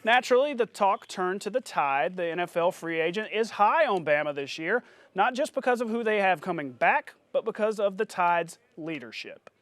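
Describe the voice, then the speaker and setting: A man reading a scripted television sportscast as anchor, with punchy, announcer-like delivery and highlight narration. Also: expressive, moderate pace, fairly bright tone, dry recording.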